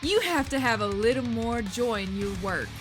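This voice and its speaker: A woman's voice: fairly high pitch, expressive, moderate pace, close to an everyday voice. A woman voicing a cocky, tough young zombie fighter with a bold, blunt, wisecracking manner, in a dramatized horror comic. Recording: loud music behind the voice.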